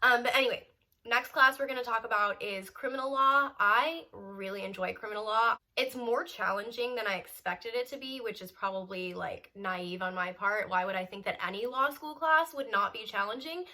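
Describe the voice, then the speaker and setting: Young woman in her 20s, stuffy and congested, chatty vlogger with a bright, crisp tone. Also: fairly high pitch, moderate pace, poor audio. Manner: chatty and upbeat, with small laughs and fillers. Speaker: law student vlogger. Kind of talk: casual vlog update.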